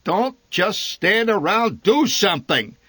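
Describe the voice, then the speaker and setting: A man voicing a blustering, short-tempered middle-aged father who shouts and curses at his family. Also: mid-range voice, big pitch swings, drawn-out words, dry recording, voice pushed up, exaggerated voice. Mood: shouting, angry. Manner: shouting, cursing, exasperated.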